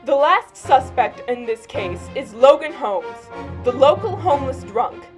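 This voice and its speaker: A woman's voice: sits high, animated, unhurried. A woman reading scripted true crime narration in a matter-of-fact storytelling way. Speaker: narrator and host of a mock true crime series. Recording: music behind the voice. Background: background music.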